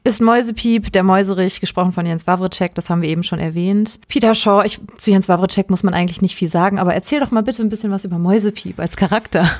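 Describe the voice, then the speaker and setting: A woman's voice: medium pitch, quick pace, hardly any pauses, a little mellow, no echo, clean studio sound. A woman co-hosting a podcast in casual, semi-prepared talk, chatty and informative with plenty of detail. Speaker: podcast co-host.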